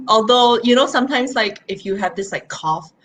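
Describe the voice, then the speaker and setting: A woman's voice: fairly high pitch, moderate pace, fairly bright tone, slight background noise, low-quality recording. A young woman from Malaysia, a university student, answering casually with many likes and fillers. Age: young adult.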